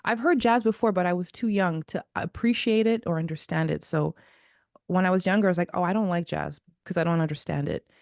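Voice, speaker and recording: Low-voiced woman, dry close sound. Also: fairly quick, vocal fry, a little mellow, no room sound. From Zimbabwe. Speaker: guest jazz vocalist. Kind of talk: casual interview.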